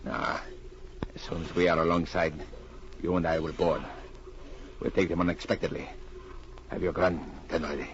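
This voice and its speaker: An actor playing a cold, methodical gunrunner boss in old radio drama, giving deliberate, menacing orders. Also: male voice, low voice, flat delivery, lightly acted. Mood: calculating. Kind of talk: 1940s radio villain acting. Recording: muffled, noisy old radio recording.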